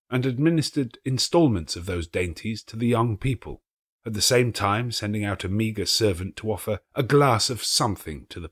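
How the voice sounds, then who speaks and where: A man reading a classic novel aloud as an expressive storyteller. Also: fairly low pitch, slightly rough, dry recording, clean studio sound. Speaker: audiobook reader.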